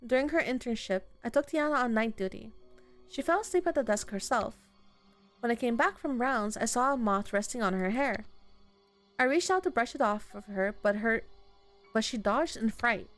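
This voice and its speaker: High-voiced woman reading game dialogue. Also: animated, normal speed, faint music behind. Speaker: streamer playing a visual novel. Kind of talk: reading game dialogue aloud with casual reactions.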